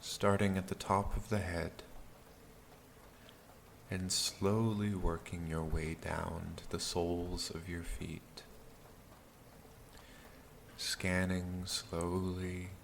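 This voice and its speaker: A man leading a guided meditation in a calm, soothing, gentle manner with long pauses. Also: low voice, gravelly, slight background noise. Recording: steady rain sound behind the voice. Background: rain backtrack.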